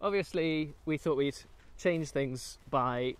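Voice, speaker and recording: A man's voice: fairly high pitch, takes it slowly. A young British man chatting casually and jokily outdoors as he co-hosts a film vlog on a walk. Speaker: co-host of a film discussion vlog. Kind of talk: casual chat while walking. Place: outdoors, walking in woods and a golf course.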